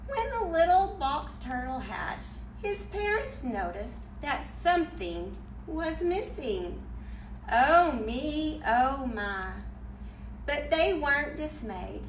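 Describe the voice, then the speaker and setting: Woman, high voice, unhurried pace, encouraging storytime teacher, simple phrasing, roomy distant mic. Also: sing-song, slight background noise. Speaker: children's storytime librarian. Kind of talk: children's storytime talk and read-aloud.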